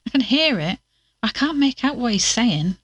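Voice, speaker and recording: A woman's voice: fairly high pitch, big pitch swings, moderate pace, fairly bright tone, slightly rough, exaggerated voice. A woman playing a wry, impatient investigator in an audio drama, with a blunt, teasing manner. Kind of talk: audio drama acting, casual dialogue.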